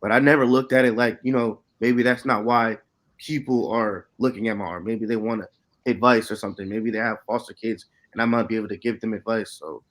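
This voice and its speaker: A man in his late twenties, an artist, talking casually and haltingly on a panel with lots of "you know". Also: fairly low pitch, steady tone, fairly bright tone. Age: late 20s. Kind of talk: casual unscripted artist talk.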